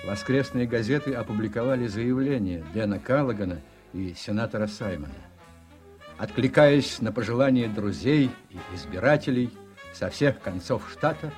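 Male narrator for radio drama: scripted storytelling, mid-pitched, very varied intonation, music underneath. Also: darkish tone.

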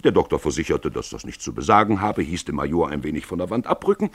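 Very deep, animated male storyteller. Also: quick pace, husky, no room sound. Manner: dramatic storytelling. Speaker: narrator. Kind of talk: scripted literary narration for a radio drama.

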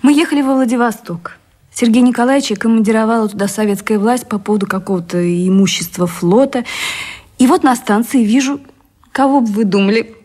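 An actress as breathy, ardent woman in love. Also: on the high side, fairly even intonation, fairly quick, mellow, lightly acted. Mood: animated. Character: Anna, refined, ardent woman in love, early 20th century. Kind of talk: Russian radio drama acting.

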